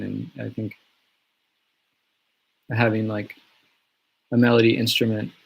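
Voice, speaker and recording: Laid-back man with a gravelly, husky voice. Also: lowish voice, low-quality recording. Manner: relaxed, rambling, many fillers and restarts. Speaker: musician answering fan questions. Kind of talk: casual live Q&A. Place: home studio in the countryside. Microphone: webcam or phone on a livestream.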